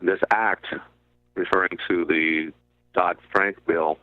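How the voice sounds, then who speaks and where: A man speaking as a radio guest, explaining in deliberate, halting short phrases over a narrow call-in line. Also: deep voice, steady tone, no echo. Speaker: guest commentator on a radio show. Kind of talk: explanatory talk about finance. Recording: muffled narrow phone-like line.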